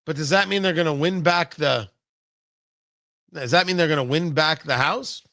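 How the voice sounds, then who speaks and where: American male political host, high and punchy, emphatic monologue style with theatrical pauses, very dry studio-like sound. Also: sharp tone, dry recording. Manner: emphatic, repeats phrases for effect. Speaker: political talk show host. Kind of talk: opinionated political commentary monologue.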